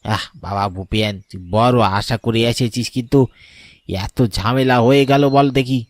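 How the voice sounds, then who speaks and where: A kindly older shopkeeper played by a man speaks in a familiar, fatherly way for a cartoon. The mid-pitched voice is recorded very dry. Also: unhurried, no echo, natural voice. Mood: apologetic. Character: kindly older village sweet-shop keeper, apologetic. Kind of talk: cartoon dialogue.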